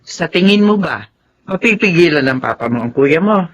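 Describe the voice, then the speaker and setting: A woman's voice: lowish voice, expressive, moderate pace. An actress playing a motherly, accepting older Filipino household woman in a radio drama. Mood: gently reproving.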